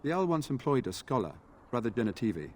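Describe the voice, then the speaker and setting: An actor playing an earnest, dutiful knight in a fantasy video game, speaking formally and courteously. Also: male voice, mid-range voice, sing-song, quick pace, slight background noise, natural voice. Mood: grave, informative. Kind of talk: video game dialogue acting.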